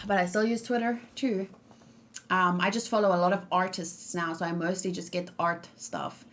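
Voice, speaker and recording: A woman's voice: on the low side, level tone, normal speed, fairly bright tone, slight background noise. Rambling young woman streamer. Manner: rambling, frank, full of fillers and restarts. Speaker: streamer reacting to a game trailer. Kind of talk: casual livestream chat.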